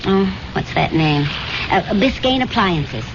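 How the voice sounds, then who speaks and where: A devoted wife who chides gently and affectionately in a vintage radio drama. Her voice sits at a middle pitch. The recording is muffled and plainly noisy. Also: lively intonation, slow pace. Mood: gently chiding. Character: supportive, gently chiding wife of a salesman. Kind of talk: 1970s radio drama acting.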